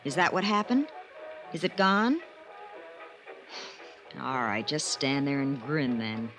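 A sophisticated American magazine writer from a 1950s radio serial. The woman is sardonic and arch, trading dry banter. Music plays clearly behind her. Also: very deep voice, expressive, takes it slowly, full character voice. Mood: needling, dry. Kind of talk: 1950s radio mystery acting.

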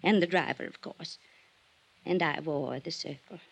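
An actress playing a wealthy older society widow in an old-time radio drama, chatty and nostalgic in a rambling, effusive way. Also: female voice, sits low, a little slow, vocal fry, breathy edge, heavily acted. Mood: wistful nostalgia.

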